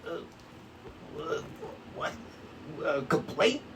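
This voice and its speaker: A man's voice: a little high, big pitch swings, drawn-out words, slight background noise, exaggerated voice. A man playing a timid ex-security guard, speaking in plain colloquial terms in a cartoon script table read. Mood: bewildered. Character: timid, husky male ex-security guard, cooperative informant. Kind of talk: animated series table read.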